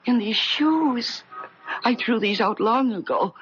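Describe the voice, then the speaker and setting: An actress playing an anxious, impulsive woman with a husky voice and an agitated, questioning manner in a 1970s radio drama. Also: a little high, lively intonation, takes it slowly, a little hiss or hum. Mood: astonished. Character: anxious, impulsive woman, regretful and wanting a new life. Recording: muffled vintage radio broadcast.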